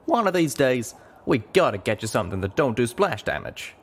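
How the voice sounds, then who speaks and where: A man's voice: mid-range voice, sing-song, brisk, pitched above the performer's own voice. A man voicing a cheerful, cocky pegasus scout with folksy, ungrammatical speech in an audiobook.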